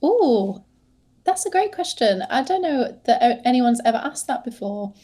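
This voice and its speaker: Mellow-voiced English woman chatting. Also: a little high, big pitch swings, normal speed. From England. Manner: chatty, hesitant, many fillers and restarts. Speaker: guest, social media manager. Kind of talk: casual podcast interview.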